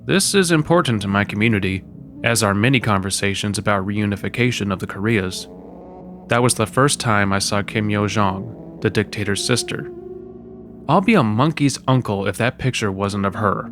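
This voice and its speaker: A man's voice: on the low side, moderate pace, a bit bright, a little hiss or hum. A man narrating a scripted first-person creepy story.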